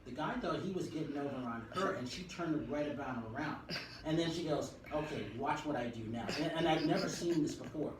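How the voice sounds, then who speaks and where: A male talk show host giving a brisk broadcast intro and trading teasing banter with his round-table guests. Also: a little high. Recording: echoey room, mic far off, noisy.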